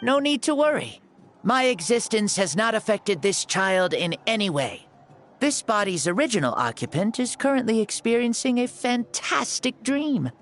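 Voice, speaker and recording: A young boy housing an ancient lord in an anime game. The delivery is breezy, theatrical and self-assured, with very lively swings of pitch over background music. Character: ancient playful lord in a young boy's body.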